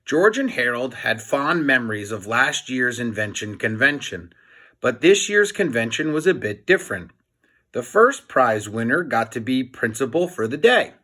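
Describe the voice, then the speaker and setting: A man reading a children's book aloud in an expressive storytelling style, like a teacher to a class. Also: mid-range voice, moderate pace.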